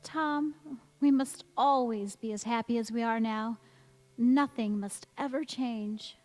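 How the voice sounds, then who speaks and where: A high, slow-spoken young bride acted by a woman in a golden age radio play. She is sentimental and anxious, pouring out every line with theatrical earnestness. The sound has the long reverb of a big hall. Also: darkish tone. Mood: anxious, romantic. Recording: echoey church hall stage recording.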